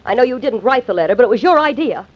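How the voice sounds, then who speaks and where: A woman's voice: a little high, expressive, racing, slight background noise, lightly acted. An actress in 1940s radio acting, playing an earnest, defiant young woman. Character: spirited young woman, wounded heroine. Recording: dull old radio recording.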